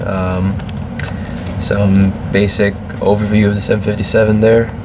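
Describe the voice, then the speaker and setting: Casual reviewer, male, low and monotone, stuffy from a cold, stumbling with fillers, steady hum behind. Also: a little slow. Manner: hesitant, many ums and restarts. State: slightly congested from a cold. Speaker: model aircraft reviewer.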